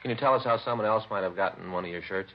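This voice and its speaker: A plain-spoken police detective played by a male actor, deep in pitch and very quick in delivery. He sounds like a partner in an old radio crime show, on a dull vintage recording. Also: close to an everyday voice. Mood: casual, probing. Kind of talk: 1950s radio drama acting.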